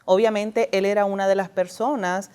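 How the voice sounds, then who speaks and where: A woman from Nicaragua, a church ministry worker giving an earnest, measured testimony in an interview. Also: medium pitch, fairly even intonation, a little mellow, clean tone. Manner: earnest, measured, often says "obviamente".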